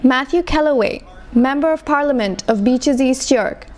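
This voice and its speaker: A woman narrating a community event video in a measured, scripted reading. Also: sits high.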